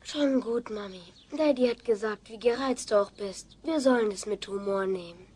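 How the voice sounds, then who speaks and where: A woman's voice: mid-range voice, normal speed, a little mellow, lightly acted. A woman playing a dutiful, placating young daughter in a German sitcom radio play. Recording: clearly noisy old cassette transfer.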